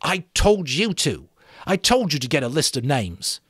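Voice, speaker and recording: A man voicing a stern boy leader under pressure, sharp and clipped, in a dramatic novel reading. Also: on the high side, big pitch swings, a little slow, breathy edge, no echo, studio quality, voice pushed up, heavily acted. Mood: sharp, annoyed.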